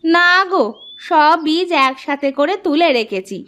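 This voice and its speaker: A woman's voice: high voice, lively intonation, a little slow, a bit bright, a little hiss or hum. A woman voicing a kindly older village aunt in cartoon dialogue. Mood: welcoming.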